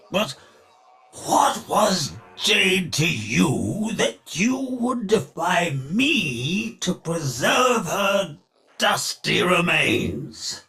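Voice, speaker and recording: An actor playing a ruthless, grandiose older industrialist villain in a video game, speaking in a theatrical, pompous and declamatory way with a gravelly voice. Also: male voice, high voice, bright, forceful tone, heavily acted. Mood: persuasive. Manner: pompous, declamatory, slow deliberate phrasing.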